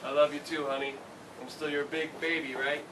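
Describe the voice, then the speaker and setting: A man's voice: a little high, level tone, fairly quick, natural voice. A man in a staged play reading, playing a lazy but loving, teasing dad in his forties. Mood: affectionate. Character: lazy, loving, teasing forty-three-year-old dad. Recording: distant, very noisy recording with loud audience.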